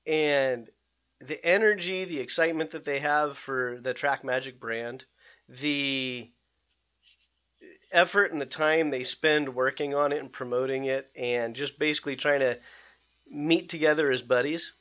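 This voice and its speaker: Excitable rambling man, dull lo-fi sound. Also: mid-range voice, measured pace. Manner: rambling, excitable, many restarts and fillers. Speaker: podcast host. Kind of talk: casual podcast chat.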